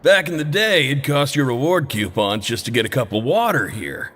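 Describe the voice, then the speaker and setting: A blunt, plain-spoken inmate. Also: male voice, medium pitch, animated, normal speed, fairly bright tone. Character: gruff, loyal male prison inmate, blunt. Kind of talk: game voice acting.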